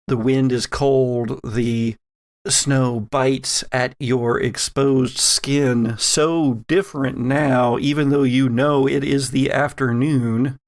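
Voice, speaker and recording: A man narrating a tabletop horror game as game master, deliberate and atmospheric, with dramatic pauses. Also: medium pitch, vocal fry.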